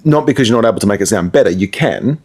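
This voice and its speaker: A man's voice: on the low side, animated, very fast, a little mellow, breathy edge. A middle-aged man with an Australian accent, a radio presenter talking casually in an interview and thinking aloud as he goes. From Perth, Australia.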